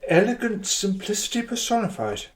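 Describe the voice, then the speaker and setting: An actor playing a self-important eccentric poet in a radio drama, declaiming with great solemnity. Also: male voice, sits high, big pitch swings, normal speed. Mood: grandiose.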